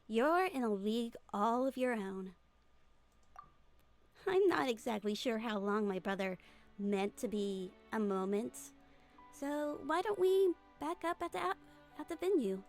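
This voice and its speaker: A woman voicing a composed, caring young female magician's assistant in a fan-dubbed game, measured and understated. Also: fairly high pitch, animated, darkish tone, faint music behind.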